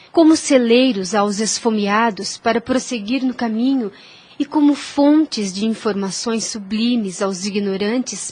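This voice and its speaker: A high-pitched, gentle and devout young woman played by an actress in an audio drama. She speaks formally and earnestly, like a teacher of faith, in measured phrases.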